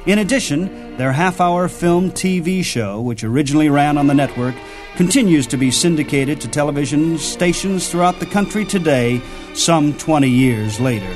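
A man's voice: on the high side, animated, moderate pace. An American man hosting a radio program, reading scripted narration over music. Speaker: radio show host. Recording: music behind the voice.

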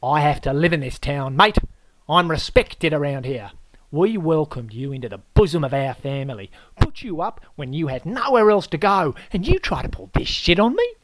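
A man's voice: high voice, very animated, normal speed, few pauses, a bit bright, a little hiss or hum, voice pushed up, full character voice. A man voicing a blustering host who swears and mocks his guest. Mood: indignant. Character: loud brash Australian male host, bullying.

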